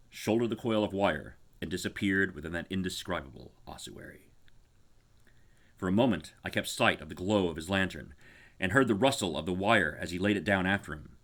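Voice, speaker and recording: Low-pitched man reading horror dramatically. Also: a bit bright. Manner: grave, deliberate, formal. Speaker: reader. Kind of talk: dramatic horror story narration.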